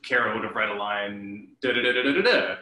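Man, thirties, halting casual talk, forceful bright tone, echoey room. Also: fairly low pitch. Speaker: director and performer, panel guest. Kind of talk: casual panel chat. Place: indoors at home, room with bookshelf, video call. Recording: echoey room, shared mic.

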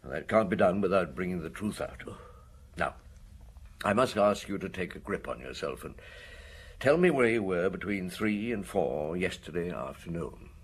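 Low-voiced, husky, blunt police detective. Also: male voice, animated, moderate pace, exaggerated voice. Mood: firm, measured. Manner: probing, matter-of-fact questioning. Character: shrewd, blunt, weary senior French police detective. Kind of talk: radio drama acting.

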